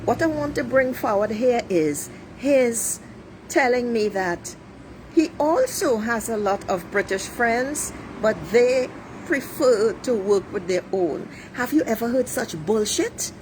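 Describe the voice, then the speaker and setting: A woman's voice: on the high side, animated, takes it slowly, a little mellow, poor audio. A middle-aged woman from Trinidad with a Trinidadian accent, giving a blunt, preachy monologue to her viewers and swearing freely. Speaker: life coach livestreaming. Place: outdoors near traffic. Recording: street traffic noise behind. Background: passing cars, a siren.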